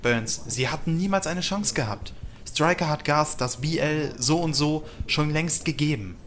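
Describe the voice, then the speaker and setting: A man's voice: a little high, moderate pace, a bit bright, natural voice. A man playing a blunt, defiant, sardonic pilot in an audio drama. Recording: faint background ambience noise.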